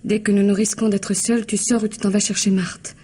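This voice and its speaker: A woman's voice: medium pitch, little pitch movement, fairly quick, mellow, husky, close to an everyday voice. An actress playing a passionate, defiant woman in love, wounded and stubborn, in a French radio play. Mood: hurt, reproachful. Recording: muffled, noisy old radio drama recording.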